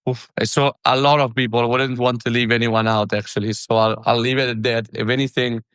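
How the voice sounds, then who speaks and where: A male podcast host keeps the talk casual and friendly, his phrases tumbling and restarting. His voice sits at an ordinary middle pitch in a dry, close recording. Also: little pitch movement, brisk, fairly bright tone, smooth, no room sound. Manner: chatty, friendly, stumbling restarts.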